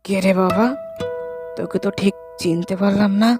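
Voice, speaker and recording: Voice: mid-range voice, flat delivery, normal speed, music in the background, natural voice. A woman playing a kindly, cautious older village uncle in cartoon dialogue. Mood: surprised.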